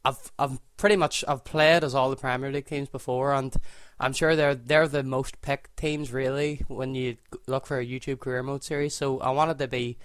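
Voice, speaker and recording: A Northern Irish man chatting over a football game in a level, even tone with little rise and fall. The sound is close and dry, with no room around his voice. He thinks out loud, restarts sentences and mends them as he goes. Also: medium pitch, normal speed, few pauses, smooth, no room sound. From Northern Ireland. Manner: relaxed, thinks aloud, self-correcting as he goes. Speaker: gaming video creator. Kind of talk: casual gameplay commentary.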